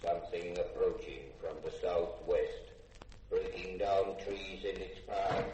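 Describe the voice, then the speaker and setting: A man playing an obedient, emotionless robot servant in a 1950s radio drama, speaking in measured announcements. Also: sits low, monotone, mellow, a little hiss or hum. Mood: flat warning. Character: obedient male robot servant, slow and monotone. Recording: dull, echoey, distant old radio recording.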